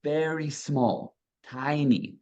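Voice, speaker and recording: A man's voice: on the high side, fairly even intonation, very slow, mellow. A man in his thirties with a mixed Israeli-American accent, a native Hebrew speaker, talking earnestly as a guest in a casual interview. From South Africa. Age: 30s. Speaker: guest entrepreneur.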